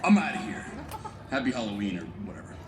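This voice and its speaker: A man's voice: mid-range voice, big pitch swings, fast, recorded from a distance, crowd noise, background noise, close to an everyday voice. A man playing a grumpy, reluctant, tough superhero, curt and exasperated, in a live comedy show. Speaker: theme park show performer. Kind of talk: live comic storytelling stage show. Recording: echoey live show sound.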